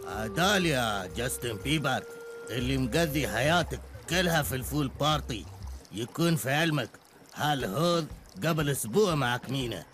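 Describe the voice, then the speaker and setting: A man's voice: mid-range voice, lively intonation, normal speed, music in the background, natural voice. A man voicing a smug middle-aged bus driver in a cartoon, lecturing in an Emirati Arabic accent. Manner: lecturing, self-important. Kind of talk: Gulf Arabic cartoon dub.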